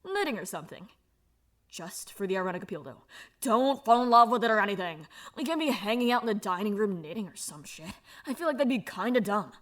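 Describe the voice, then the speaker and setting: A woman's voice: mid-range voice, lively intonation, a little fast, lightly acted. A woman voicing a tough young woman who reads out her letter in a casual, swearing, offhand way. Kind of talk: reading a letter aloud.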